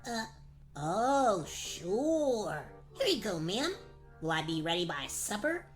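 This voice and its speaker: A woman's voice: medium pitch, very animated, slow pace, soft background music. A woman voicing a dim, greedy, good-natured little boy in a comedic radio play, dopey and polite. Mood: dopey, agreeable. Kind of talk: comedic radio play, gag-dub cartoon acting.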